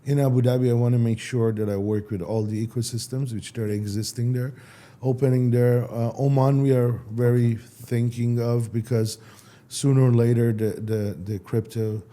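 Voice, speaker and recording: A man, a startup founder answering interview questions casually in halting, with many restarts. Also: medium pitch, fairly even intonation, dark tone. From Iran. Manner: halting, restarts, non-native phrasing.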